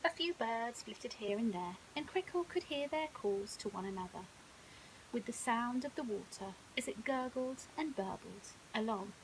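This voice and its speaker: A woman's voice: a little high, expressive, moderate pace. A woman narrating a children's bedtime story expressively, like a primary school teacher reading aloud. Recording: hissy background noise.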